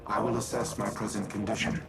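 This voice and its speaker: A man voicing a stately artificial intelligence in a robot body for a video game, speaking in a formal, measured, solemn way. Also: on the low side, level tone, gravelly. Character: Rasputin, stately male war-machine AI in a robot body. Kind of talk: scripted video game dialogue. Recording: loud music behind the voice.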